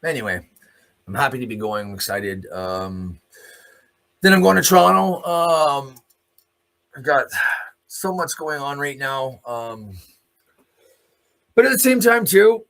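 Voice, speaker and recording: A man's voice: medium pitch, expressive, a little slow, creaky, pauses often, a bit bright. A man hosting a solo commentary show, delivering a blunt, off-the-cuff opinionated monologue with free use of swearing.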